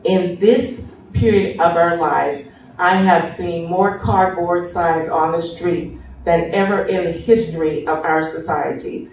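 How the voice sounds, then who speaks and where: Fairly high voiced guy, earnest, kinda halting, super echoey and far from mic. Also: steady tone, darkish tone, a little hiss or hum. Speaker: panel guest. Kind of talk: debate remarks. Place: tobacco shop, live radio show.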